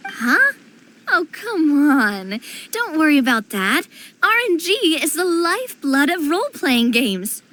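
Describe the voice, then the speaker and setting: Daring young woman, high lively voice, playful and teasing. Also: measured pace, full character voice. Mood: excited. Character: bold young woman who loves risks. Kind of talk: game voice acting.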